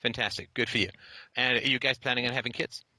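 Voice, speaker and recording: A man's voice: medium pitch, fairly even intonation, fast talker, no room sound. A man hosting a call-in show, talking bluntly and repeating points in a lecturing way. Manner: forceful, repetitive, lecturing. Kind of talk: blunt philosophical call-in talk.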